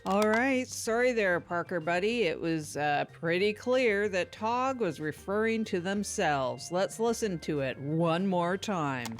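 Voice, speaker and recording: Lady doing a podcast producer announcement, slow n very up and down, music under it. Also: fairly low pitch, animated. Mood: announcing. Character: show producer cheerfully announcing replays and rulings.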